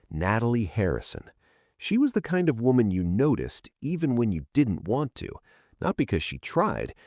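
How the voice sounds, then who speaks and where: Deep male storyteller, scripted first person story, dramatic short phrases, very dry sound. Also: darkish tone, no echo.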